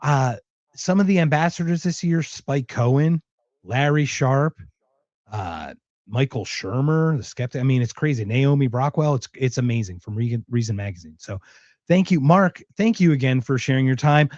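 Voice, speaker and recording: A man's voice: medium pitch, animated, moderate pace, a little mellow, no room sound, lo-fi sound. A man hosting a casual podcast conversation in an enthusiastic, effusive way, often repeating himself for emphasis. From Philadelphia, United States. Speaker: podcast host.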